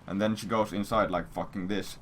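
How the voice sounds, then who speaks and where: Very low-voiced man telling crude stories, noisy stream. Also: fairly even intonation, fairly quick. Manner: crude, swearing, rambling. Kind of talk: casual storytelling to stream viewers. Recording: noisy stream audio, keyboard sounds.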